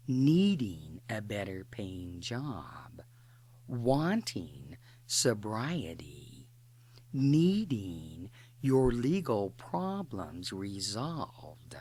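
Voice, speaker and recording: A guided imagery narrator speaking a slow meditation script in short, deliberate phrases with pauses between them. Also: medium pitch, sing-song, a little mellow.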